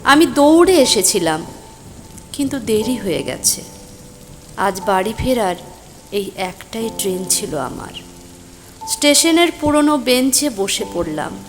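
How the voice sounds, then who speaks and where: A woman playing a stranded, concerned young woman who narrates her own mystery story, emotive and suspenseful, with deliberate pauses. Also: a little high, very animated. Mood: suspenseful, weary. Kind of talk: scripted first-person dramatic story narration. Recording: voice over rain and thunder ambience.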